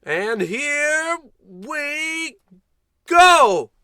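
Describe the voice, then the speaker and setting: A man's voice: very high-pitched, sing-song, very slow, sharp tone, no echo, exaggerated voice. A man playing a pompous, callous American spaceship captain in a comic old-time radio play, bossy and grandiose with dry sarcastic asides. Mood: commanding, blustering.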